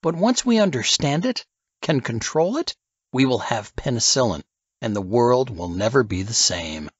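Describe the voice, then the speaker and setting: A man's voice: mid-range voice, big pitch swings, moderate pace, breathy edge, no room sound, lo-fi sound. A man voicing a scheming, lecturing man of grand ambitions in an audiobook, speaking emphatically and rhetorically. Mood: grandiose, scheming. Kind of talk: audiobook character dialogue.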